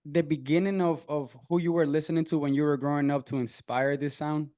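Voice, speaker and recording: A man's voice: fairly high pitch, fairly even intonation, fairly quick, warm tone. A man from Venezuela hosting a casual video-call interview, friendly with frequent restarts and fillers. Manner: friendly, stumbling restarts, lots of "um". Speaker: interviewer host.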